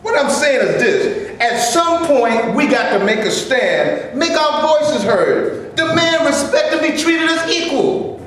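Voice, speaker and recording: A man reading a proud, defiant actor who argues with emphatic conviction. His voice sits high and swings widely in pitch. It is heard from a distance in an echoey hall with faint noise behind it. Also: sing-song, a little slow, a bit bright, full character voice. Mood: indignant. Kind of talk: amateur stage reading. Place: stage before a live audience.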